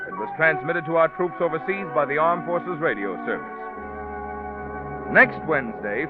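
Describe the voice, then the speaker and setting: A man working as a radio announcer, reading sponsor messages and credits in a polished, formal 1940s style. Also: fairly high pitch. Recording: tinny band-limited old radio broadcast with music. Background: music.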